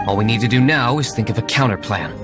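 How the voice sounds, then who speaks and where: An actor playing a composed, shrewd young gentleman winery owner in a video game, formal and measured, with music behind him. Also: mid-range voice, natural voice. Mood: guarded. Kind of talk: video game character acting.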